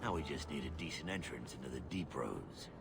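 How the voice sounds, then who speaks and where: A man voicing a gruff, suspicious, money-minded dwarf expedition boss in a video game, blunt and brusque. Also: rumbling low, expressive, brisk, heavily acted. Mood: impressed, eager. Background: loud music.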